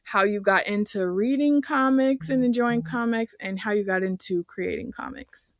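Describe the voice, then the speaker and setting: Woman co-host, excited fangirl manner, dry close sound, mid pitch. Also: moderate pace, no room sound. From West Coast of the US. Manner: gushing, excited fan. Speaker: podcast co-host. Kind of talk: casual interview chat.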